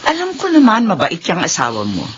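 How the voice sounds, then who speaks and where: A woman's voice: on the low side, huge pitch swings, a little fast, slight background noise. A woman playing an older mother who dotes on her son and is critical of his wife, in a radio drama. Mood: concerned. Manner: nagging, protective. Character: older mother, doting, critical of daughter-in-law. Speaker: mother of the husband. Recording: dull lo-fi radio recording.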